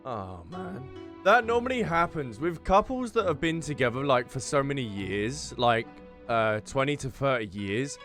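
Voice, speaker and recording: Animated male gaming streamer. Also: mid-range voice. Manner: playful, drawn-out interjections. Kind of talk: casual reaction commentary over gameplay. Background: game music.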